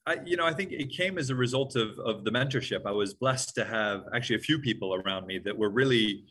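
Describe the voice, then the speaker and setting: A man from Hawaii, a guest in an interview, answering in a calm, measured, reflective way. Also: mid-range voice, husky.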